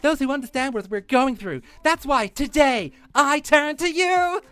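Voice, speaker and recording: A man performs a self-pitying woman with a very high-pitched voice. She pleads for support in theatrical, mock-solemn dramatic reading, recorded dry and close. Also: lively intonation, a little slow, pushed and bright, dry recording, soft background music, full character voice. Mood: pleading.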